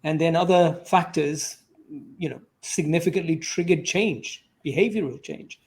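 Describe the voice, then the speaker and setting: Higher-voiced male interviewer, wordy and reflective. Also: moderate pace, darkish tone. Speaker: interviewer, host. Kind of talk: interview questioning, conversational commentary.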